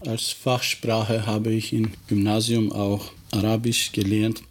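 A man's voice: lowish voice, little pitch movement, slow. A man whose first language is Albanian, a teacher answering interview questions carefully, sometimes searching for words.

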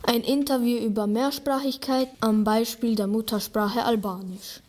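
A woman's voice: on the high side, steady tone, moderate pace, a little mellow. A young teenage girl presenting a school radio show from a script as a student host.

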